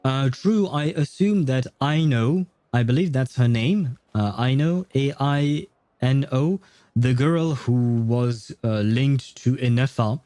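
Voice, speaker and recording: Male game streamer, casual and rambling, thinks aloud, soft dark timbre over faint background music. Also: mid-range voice, takes it slowly, no room sound. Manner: rambling, thinking aloud, chuckles at own jokes. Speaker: livestream host. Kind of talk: casual gaming livestream chat.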